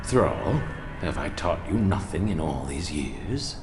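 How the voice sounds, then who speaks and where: Slow, deep, haughty villain lord. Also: male voice, sing-song, vocal fry, exaggerated voice. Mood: condescending, smug. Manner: pompous, condescending, deliberate menace. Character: haughty, cruel male human lord and slave master. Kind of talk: theatrical animated fantasy game acting. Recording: echoey hall reverb under music.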